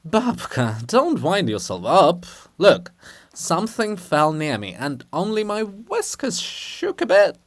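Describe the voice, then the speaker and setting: A man voicing a blustering, bluff ruler's statue, loud and dismissive, in a dramatic play reading. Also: high voice, huge pitch swings, takes it slowly, voice pushed up, heavily acted. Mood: brash reassurance.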